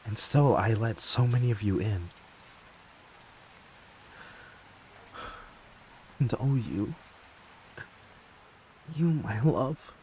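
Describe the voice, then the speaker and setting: A man playing a wounded, betrayed lover turned bitter and vengeful in a slow, deliberate dramatic audio monologue. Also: mid-range voice, animated, a little mellow, a little hiss or hum, low-quality recording. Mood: anguished, pleading. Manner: slow, deliberate, brooding, heavy pauses. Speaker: voice actor.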